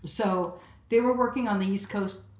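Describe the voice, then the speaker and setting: A woman's voice: mid-range voice, normal speed. Older woman telling stories in an echoey room. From Egypt. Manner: chatty, laughing, animated quoting. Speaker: guest, casting director. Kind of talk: casual interview storytelling. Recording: roomy echoey sound.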